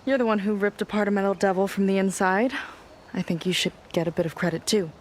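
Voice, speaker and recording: An actress playing a frank, warm-hearted young woman sailor in a video game cutscene, with a candid, dryly teasing, natural delivery. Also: mid-range voice, fairly even intonation, fast, a little hiss or hum, lightly acted. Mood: wry, teasing.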